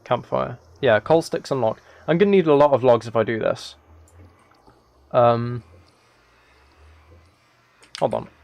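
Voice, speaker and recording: A man's voice: mid-range voice, a little slow, vocal fry, long pauses, a little mellow, no room sound. A man giving casual gaming livestream commentary, thinking aloud and correcting himself as he chats with viewers. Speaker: live streamer.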